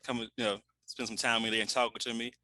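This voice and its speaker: A man hosting a show, chatting loosely with many pauses and fillers. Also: medium pitch, flat delivery, pushed and bright. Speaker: show host. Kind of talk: casual interview and show links. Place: in Louisiana.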